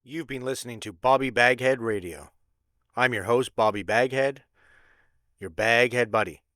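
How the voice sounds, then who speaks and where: A man's voice: mid-range voice, normal speed, a bit bright, no room sound, clean studio sound. A man hosting an online radio show, speaking a scripted-sounding sign-off. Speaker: channel host.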